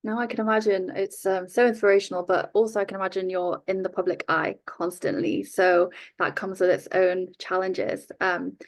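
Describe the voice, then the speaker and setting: A woman interviewing a guest on a webinar, asking hesitant questions with many pauses. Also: medium pitch, little pitch movement.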